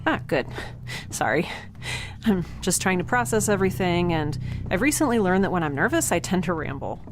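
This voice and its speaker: An actress playing a curious young scientist in an audio drama, chatty, awkward and earnest in a naturalistic way. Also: female voice, on the low side, sing-song, normal speed, music in the background. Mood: anxious, rambling. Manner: chatty, awkward, earnest, rambles when nervous. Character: curious, nerdy, rambling young female scientist heroine.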